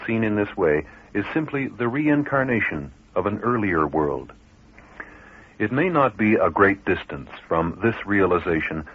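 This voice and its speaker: A man narrating a radio documentary in a calm, explanatory way. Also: sits low, slightly rough. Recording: narrow lo-fi radio broadcast.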